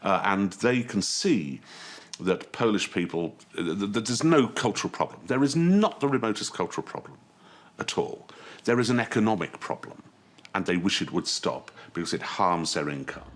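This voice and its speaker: A man's voice: fairly low pitch, sing-song, normal speed. A man arguing a point emphatically, with stammering restarts. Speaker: commentator interviewee. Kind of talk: forceful opinion.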